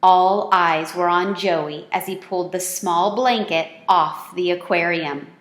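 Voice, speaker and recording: A woman's voice: lowish voice, fairly even intonation, unhurried. A woman reading a children's storybook aloud as the storyteller. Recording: echoey, roomy sound.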